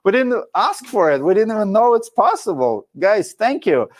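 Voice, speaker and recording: Casting: Russian-accented man, thirties, livestream host, frank coach, slightly high voice. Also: big pitch swings, moderate pace. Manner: frank, coaching, drops articles. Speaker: show host reading live chat. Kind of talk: casual livestream talk.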